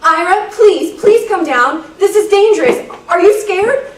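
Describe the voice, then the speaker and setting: Woman acting exasperated babysitter, high swooping voice. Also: animated, measured pace. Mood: anxious, pleading. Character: exasperated young female babysitter growing frightened in the dark. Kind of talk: amateur radio thriller acting. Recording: echoey room, distant mic, background noise.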